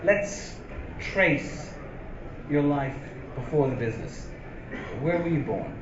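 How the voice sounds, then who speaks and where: A man's voice: on the high side, expressive, slow. A man hosting a live audience interview, asking questions in a formal way. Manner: formal, introducing and prompting questions. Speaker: interviewer, event host. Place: event hall with a live audience. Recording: roomy, dull live hall recording with noise.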